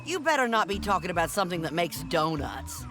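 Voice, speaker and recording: A woman playing a quirky, eager, scrappy companion in a comedic animated game, punchy and opinionated. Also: on the low side, a little fast. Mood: feisty. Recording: loud music behind the voice.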